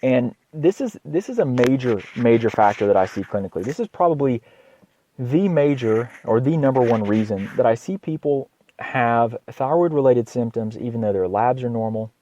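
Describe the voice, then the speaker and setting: Male doctor podcaster, mid-pitched and a little hoarse, halting informal explainer delivery, slightly lo-fi recording. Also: lively intonation, warm tone, a little hiss or hum. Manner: halting, repeats words, frequent throat clearing. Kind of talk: informal educational health monologue.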